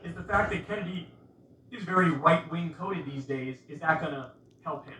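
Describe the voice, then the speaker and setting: A man's voice: on the high side, moderate pace, crowd noise. A man giving casual political commentary to viewers, insistently repeating rhetorical questions. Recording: roomy, distant sound with faint noise.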